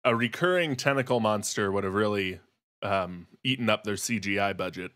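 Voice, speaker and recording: A man's voice: on the low side, normal speed, fairly bright tone. A man co-hosting a podcast, talking in a mock-earnest conversational style. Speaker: podcast co-host.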